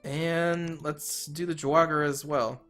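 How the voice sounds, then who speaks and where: A man commentating his own strategy game turn, thinking aloud and correcting himself, a little hoarse from losing his voice. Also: on the high side, level tone, slow pace, a bit bright, faint music behind. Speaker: let's player.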